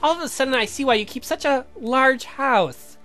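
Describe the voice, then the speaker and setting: A very high, genteel lady voiced by a man in a gothic romance game. She sounds amazed and questions politely, with music playing behind her. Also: normal speed, sharp tone. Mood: surprised sympathy. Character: astonished genteel young lady, the count's beloved.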